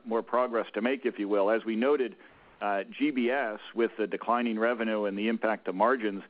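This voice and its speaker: A man with a cold, sounding croaky, answering analyst questions on an earnings call with many halting restarts. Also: fairly low pitch. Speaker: company executive answering analysts. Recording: tinny phone line.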